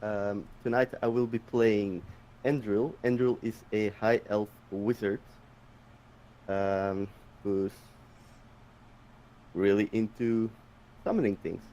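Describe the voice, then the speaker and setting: Slow, low-voiced Belgian-born man. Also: steady tone, warm tone, highs cut off. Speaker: player.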